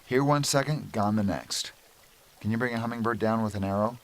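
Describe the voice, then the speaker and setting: A man's voice: fairly low pitch, brisk, natural voice. A man acting in an amateur radio drama as a kindly, enthusiastic weapons inventor. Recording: faint background noise.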